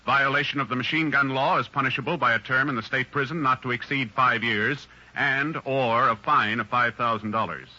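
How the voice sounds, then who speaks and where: Stern formal announcer, sharp voice, measured delivery, old muffled radio sound. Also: male voice, mid-range voice, steady tone. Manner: stiff, official, measured. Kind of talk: formal scripted radio narration. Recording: dull 1950s radio recording.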